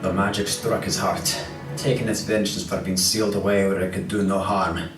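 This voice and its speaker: Casting: man as grave dark-legend narrator for radio play, lowish voice, roomy sound. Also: steady tone, moderate pace, lightly acted. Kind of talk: scripted storytelling in audio drama. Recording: echoey distant playback with music.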